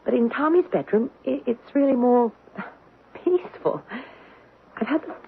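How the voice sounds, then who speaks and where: An anxious young mother in a haunted-house radio play. She speaks haltingly, and the sound is narrow and tinny over a clear layer of noise. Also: fairly high pitch, lively intonation. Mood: uneasy, hopeful. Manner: hesitant, halting, stammering. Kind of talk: radio drama acting. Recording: tinny, noisy old radio broadcast.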